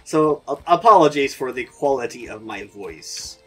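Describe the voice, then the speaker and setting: A man hosting a game playthrough, chatting casually and rambling, his voice hoarse from a sore throat. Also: mid-range voice, expressive, normal speed, pushed and bright, faint music behind. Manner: rambling, chatty, laughs often. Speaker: gaming video host. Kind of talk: casual let's-play commentary.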